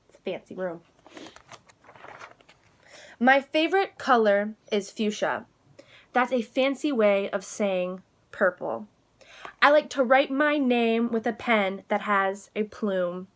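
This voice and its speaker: A woman reading a children's storybook as an excitable, precocious little girl who adores being fancy, with a dramatic manner. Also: medium pitch, takes it slowly, long pauses. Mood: proud. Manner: dramatic, precocious, proudly explaining fancy words. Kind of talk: children's storybook read-aloud.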